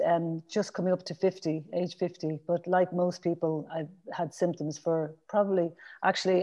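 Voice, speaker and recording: A woman's voice: on the low side, mellow, dull audio. A woman in her fifties with a Dublin Irish accent, chatting as a podcast guest in a rambling way, with restarts and fillers. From Ireland. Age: 50s. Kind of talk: casual interview conversation.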